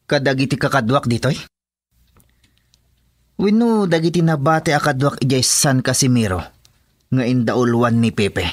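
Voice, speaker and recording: A man's voice: medium pitch, unhurried, long pauses, dry recording, clean studio sound, close to an everyday voice. A man with an Ilocano accent acting a respectful, obedient henchman to a crime boss in a radio drama. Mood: wary. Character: deferential henchman of a crime boss, assuring loyalty.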